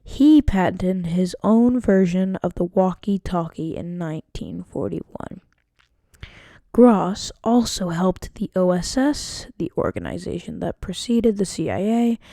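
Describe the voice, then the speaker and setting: A woman's voice: mid-range voice, lively intonation, slow, mellow, dry recording, studio quality. A woman narrating a student history project, reading carefully from a script with an occasional stumble. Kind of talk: scripted narration read aloud.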